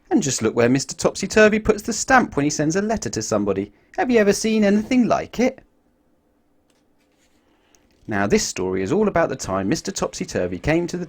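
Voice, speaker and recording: Animated man reading a children's storybook. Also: medium pitch. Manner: expressive, addresses young listeners with questions.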